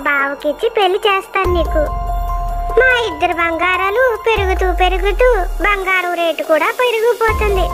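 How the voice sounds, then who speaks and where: An anxious village woman in a cartoon story, acted by a woman, with an extremely high, childlike voice. She frets over her family, and music plays clearly behind every line. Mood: fretful. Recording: loud background music.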